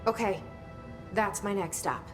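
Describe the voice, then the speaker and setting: A woman's voice: measured pace, over music, close to an everyday voice. A woman playing a direct, determined young director in a video game, terse and guarded in conversation. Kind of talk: video game dialogue acting. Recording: clear rumbling background noise.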